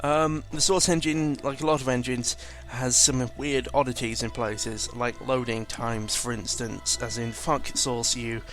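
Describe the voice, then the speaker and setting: A man's voice: medium pitch, level tone, a little slow, few pauses, a bit bright, faint music behind. A man giving casual, rambling game commentary, informal and sweary, often correcting himself as he goes. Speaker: gaming video commentator.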